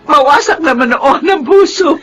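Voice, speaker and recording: High-voiced anguished Filipino mother. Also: animated, normal speed, a bit bright. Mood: anguished confession. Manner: tearful, emphatic delivery. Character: anguished, long-suffering mother, wronged and self-sacrificing. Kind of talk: radio drama acting. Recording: radio drama with music underneath.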